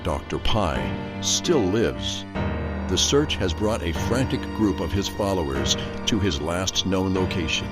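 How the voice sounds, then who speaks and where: A man's voice: low voice, animated, unhurried, loud music behind the voice. A man acting as the grave narrator of an audio drama, reading a dramatic scripted recap. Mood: grave, ominous.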